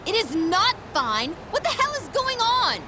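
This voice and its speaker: Hot-tempered young game character, high, animated, jealous, abrasive, noisy street. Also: female voice, measured pace, exaggerated voice. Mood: jealous, angry. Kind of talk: English video game dub.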